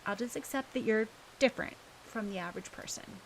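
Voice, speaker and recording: A woman's voice: a little high, a little fast, pitched above the performer's own voice, lightly acted, low-quality recording. A woman voicing an emotional, caring schoolgirl in an audiobook story, with noise behind her. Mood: gentle, understanding. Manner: stammering when upset. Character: emotional schoolgirl, indignant, tearful, fiercely caring.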